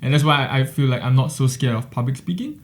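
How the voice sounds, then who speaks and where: A young man from Singapore hosting a podcast interview, friendly and quick with many fillers. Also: medium pitch. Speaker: podcast host. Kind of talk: casual interview conversation.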